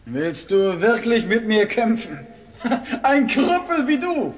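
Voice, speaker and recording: A very high, slow-drawling male villain in an audio drama. He is an arrogant traitor officer who sneers and mocks. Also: big pitch swings, slight background noise, exaggerated voice. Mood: mocking. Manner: mocking, slow. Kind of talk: German radio play acting.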